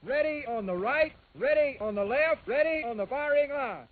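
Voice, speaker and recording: A man calling out firing range commands in a loud, drawn-out way, on an old film soundtrack. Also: very high-pitched, animated. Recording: tinny, band-limited vintage film soundtrack.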